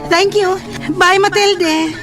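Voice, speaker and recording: A woman's voice: very high voice, fairly even intonation, slow pace, over loud music. An actress playing a sincere, trusting young woman in a horror audio drama about a family curse. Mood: friendly.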